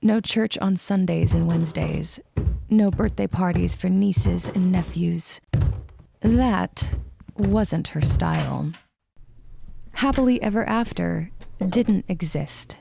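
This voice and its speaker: A woman's voice: fairly low pitch, takes it slowly, gravelly, noisy background. A woman reading romance fiction as a scripted audiobook narration.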